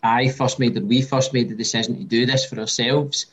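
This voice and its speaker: A man's voice: medium pitch, steady tone, brisk. A man with a Scottish accent chatting casually on a video call as a distillery co-founder, rambling and swearing lightly.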